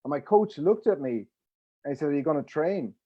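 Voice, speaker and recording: A former sumo wrestler telling stories on a panel, emphatic and animated. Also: male voice, fairly high pitch, a little fast, lo-fi sound. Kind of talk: panel discussion.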